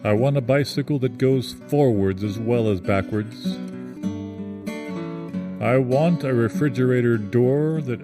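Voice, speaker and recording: A man's voice: medium pitch, measured pace, lightly acted. A man playing an entitled, pompous fellow who declaims his demands in an improv comedy. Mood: imperious. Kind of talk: improv comedy audio theatre. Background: guitar.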